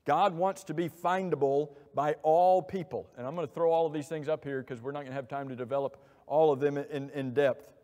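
A middle-aged man preaching a sermon from a church pulpit, animated and asking many rhetorical questions. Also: a little high, a little fast, hardly any pauses. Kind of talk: expository sermon. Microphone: lapel mic. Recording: long hall reverb.

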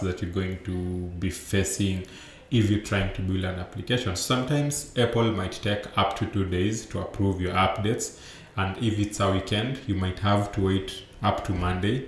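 A young man with a deep, low voice walks through a software talk from an indoor room, speaking loosely with frequent fillers and self-corrections. His laptop mic picks up a roomy echo and a faint hum of background noise. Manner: explanatory, loose, many fillers and restarts. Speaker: conference speaker. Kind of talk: remote tech conference talk over slides.

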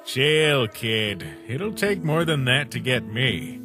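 A man's voice: medium pitch, expressive, takes it slowly, music in the background. A man voicing a wry, drowsy skeleton brother with a casual, mumbled, unbothered manner in a cartoon comic dub. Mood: easygoing. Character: laid-back, drowsy skeleton older brother, mild and wry.

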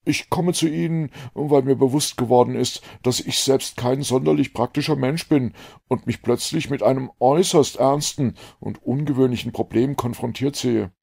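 A man voicing an eager, talkative young gentleman scientist in an audiobook, effusive and fussily precise. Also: medium pitch, normal speed, creaky, breathy edge, voice pushed up. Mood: earnest, polite.

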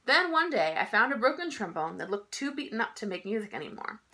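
A woman reads a picture book aloud for children. Her voice is bright and on the high side, with lively, swooping intonation. She tells the story expressively, leaning on key words. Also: fast talker. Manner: expressive, emphatic delivery for children. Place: small indoor room at home.

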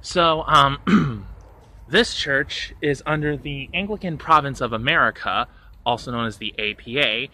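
A man's voice: mid-range voice, measured pace, pushed and bright. A man in his thirties from Florida, vlogging outdoors on a phone at arm's length, talking in an earnest, rambling way and correcting himself as he goes. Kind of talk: casual vlog monologue. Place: outdoors by a church on a sunny day. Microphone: phone held at arm's length. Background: faint traffic and outdoor ambience.

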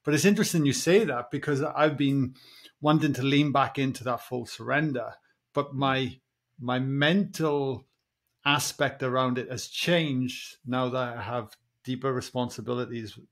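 A man's voice: medium pitch, fairly even intonation, a little slow. A man in his forties with a Welsh accent, hosting a podcast in friendly, rambling chat over a video call from a small room, speaking through earphones. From Wales. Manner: friendly, rambling, says "mate" often. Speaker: podcast host. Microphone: earphone headset on a video call.

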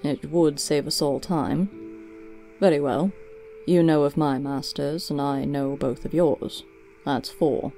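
A woman's voice: low voice, fairly even intonation, slow pace, warm tone, soft background music. A woman voicing a cautious, formal male owl in a storybook reading, with a guarded manner.

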